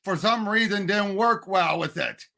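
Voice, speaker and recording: Man, podcast co-host, piercingly bright, creaky edge, casual debating, emphatic. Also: high voice, a little slow.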